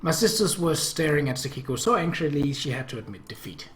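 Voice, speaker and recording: A man hosting a let's play, reading a visual novel aloud and adding casual, halting commentary. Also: on the high side, big pitch swings, a bit bright, a little hiss or hum. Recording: echoey room.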